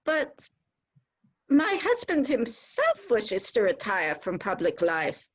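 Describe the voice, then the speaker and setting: Woman playing a slow, earnest society wife. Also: medium pitch, huge pitch swings, slight background noise. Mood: troubled. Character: sensible, principled, devoted society wife. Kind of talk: theatrical dramatic reading of a period comedy.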